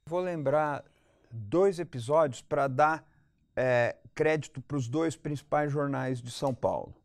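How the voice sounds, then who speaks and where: A man's voice: on the high side, a little slow, creaky, dry recording, studio quality. A Brazilian man giving analytical commentary as a guest in a panel debate, articulate and explanatory, repeating points for emphasis.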